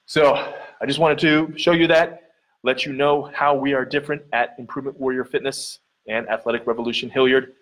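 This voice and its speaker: A man's voice: mid-range voice, fairly even intonation, fairly quick, a bit bright, rough recording. A man in his thirties, a gym owner and trainer, explaining earnestly with lots of fillers and repetition while walking through a large dim indoor gym, recorded on a camera mic held at arm's length. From Central Ohio, US. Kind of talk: casual walkthrough vlog. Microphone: handheld camera mic at arm's length.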